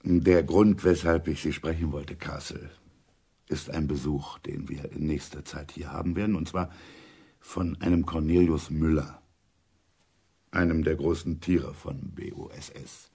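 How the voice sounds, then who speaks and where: An actor playing an urbane, authoritative intelligence chief in a German radio drama, speaking in a measured, deliberate way. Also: male voice, a bass voice, steady tone, vocal fry, dark tone, husky, full character voice. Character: senior intelligence chief, urbane, matter-of-fact, authoritative older man.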